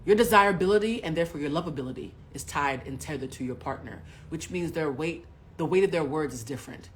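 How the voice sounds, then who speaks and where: A woman in her forties hosting a livestream, telling a personal story bluntly and with plenty of profanity, swinging between hushed asides and loud bursts. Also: deep voice, fast, slight background noise. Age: 40s. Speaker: livestream host. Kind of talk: candid storytelling to a live audience.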